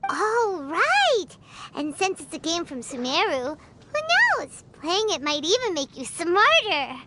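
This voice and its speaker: A woman voicing a small, bubbly, curious childlike fairy companion in a video game, with a squeaky, chirpy delivery. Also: sing-song, slow, a little hiss or hum, full character voice. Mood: curious, teasing. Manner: chirpy, refers to self by name. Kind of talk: video game character acting. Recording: loud playful game music behind.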